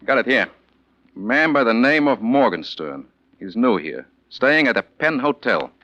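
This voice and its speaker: A man's voice: mid-range voice, big pitch swings, moderate pace, background noise, lightly acted. A man playing an irritable, exasperated kennel owner in a 1940s radio drama, indignant and emphatic. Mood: relenting. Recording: muffled old radio recording.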